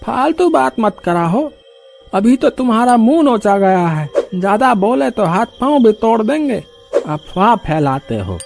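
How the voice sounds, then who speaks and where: A man voicing a rough, hot-tempered male villager, scolding sarcastically in a cartoon. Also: high-pitched, very animated, unhurried, music in the background, exaggerated voice. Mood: mocking. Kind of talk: cartoon voice acting.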